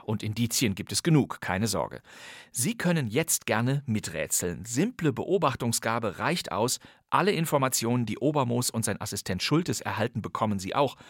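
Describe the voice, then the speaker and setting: A man's voice: medium pitch, dry recording, clean studio sound. A man presenting a podcast with scripted, conversational and slightly theatrical narration. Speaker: podcast host.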